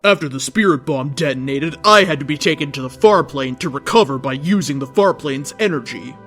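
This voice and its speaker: A man's voice: fairly high pitch, animated, brisk, fairly bright tone, faint music behind. A man reading fan fiction aloud as narrator, in a theatrical, mock-earnest comic style. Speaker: story reader.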